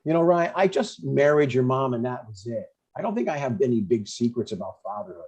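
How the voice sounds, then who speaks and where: An older man acts out a blunt, easily annoyed dad who cares awkwardly. His voice is husky and plainspoken, on a dull lo-fi recording, in a casual comedy read. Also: mid-range voice, very animated, brisk, darkish tone. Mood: stern, caring. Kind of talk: informal comedy table read.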